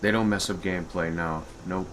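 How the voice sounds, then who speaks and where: A man's voice: deep voice, steady tone, fairly quick, background noise. A man giving casual gameplay commentary as a modder, informal and muttering to himself. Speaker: game modder and video host. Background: video game music.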